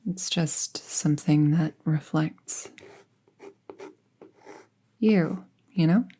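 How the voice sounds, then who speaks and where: Soft, low-voiced young apprentice witch, acted by a woman. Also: drawn-out words, slightly rough, heavily acted. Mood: musing, casual. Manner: soft-spoken, hesitant, musing, often trailing into near-whisper. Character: friendly young female apprentice witch, casual and thoughtful. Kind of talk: intimate roleplay audio acting, conversational.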